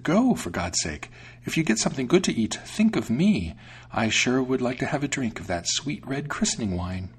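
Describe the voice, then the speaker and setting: A man's voice: sing-song, normal speed, slightly rough. A man voicing a small, trusting female storybook mouse, inquisitive and emphatic, full of wonder. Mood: trusting, cheerful. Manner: high-lifted, emphatic, wondering delivery. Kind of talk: storybook character voice.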